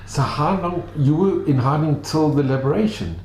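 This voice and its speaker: A man interviewing an elderly guest, asking gentle prompting questions in conversation. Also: a little high, expressive, moderate pace, roomy sound, a little hiss or hum.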